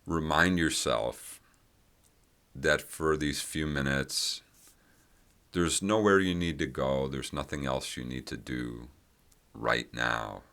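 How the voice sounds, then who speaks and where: Male meditation guide, extremely low pitch with a bright, clear-edged tone, gentle phrasing and generous pauses. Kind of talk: guided meditation, unscripted instruction.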